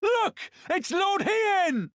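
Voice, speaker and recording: An excited, very high-voiced villager. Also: female voice, extremely slow, dry recording, clean studio sound, heavily acted. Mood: overjoyed. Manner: excited, crying out. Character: overjoyed commoner woman cheering her returned lord. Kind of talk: cinematic fantasy game crowd acting.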